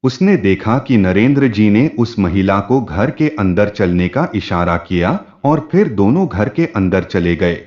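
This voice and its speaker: Lively deep-voiced male storyteller, muffled audio. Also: unhurried, few pauses. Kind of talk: scripted story narration.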